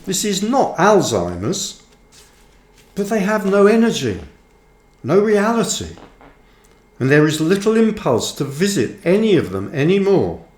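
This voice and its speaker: Slow-reading man in a roomy space. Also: sits high, wildly swooping pitch, darkish tone. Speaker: author reading own book aloud. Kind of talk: audiobook-style scripted narration. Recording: roomy, echoing sound.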